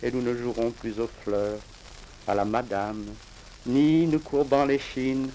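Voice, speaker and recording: A man from Reunion Island reciting his own poems in a solemn, measured, declamatory way with deliberate pauses, on a crackly vinyl record. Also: medium pitch, fairly even intonation, dark tone. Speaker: poet reading own work.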